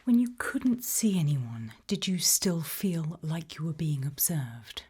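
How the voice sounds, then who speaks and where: Woman, British accent, hushed ASMR whisper, low and mellow, breathy, interview roleplay. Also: big pitch swings, slow pace.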